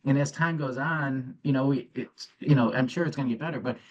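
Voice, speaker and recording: Guy chiming in on a call, mid-pitched voice, muffled audio, lots of you knows. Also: fairly quick. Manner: modest and practical, with many "you know"s. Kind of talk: casual comment on a call.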